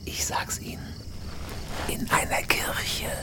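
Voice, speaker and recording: An actor playing a terse, cool, calculating career criminal in a radio crime drama, speaking in a laconic, flat, understated way. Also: male voice, slow, whispered, close to an everyday voice. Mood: hushed, guarded.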